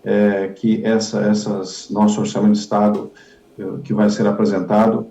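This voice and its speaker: Halting low-voiced man in an echoey room. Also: completely flat delivery, creaky, dark tone, a little hiss or hum. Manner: halting, repetitive, many fillers. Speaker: politician interviewee. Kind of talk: political interview answer. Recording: dull echoey room audio.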